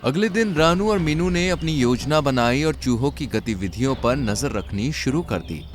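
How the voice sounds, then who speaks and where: A man's voice: medium pitch, expressive, moderate pace, a little hiss or hum. A man narrating a scripted children's story as its storyteller.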